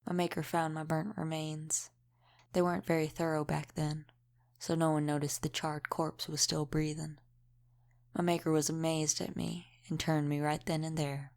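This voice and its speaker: A woman playing a centuries-old, world-weary vampire mentor in an audio roleplay, speaking in a measured, matter-of-fact, lightly wry way. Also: low voice. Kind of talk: scripted audio roleplay, addressed to the listener.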